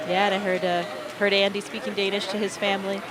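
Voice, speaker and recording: An enthusiastic launch commentator who speaks Swedish talks over a live broadcast feed. A murmuring crowd clearly fills the background, and the voice sits at a middle pitch. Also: level tone, fast, poor audio. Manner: enthusiastic, explanatory.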